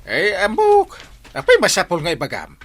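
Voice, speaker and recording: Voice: medium pitch, huge pitch swings, moderate pace, fairly bright tone. An actor playing a giggly, gossip-loving village captain in an Ilocano comedy drama, stammering at the start of lines. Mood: evasive, bumbling.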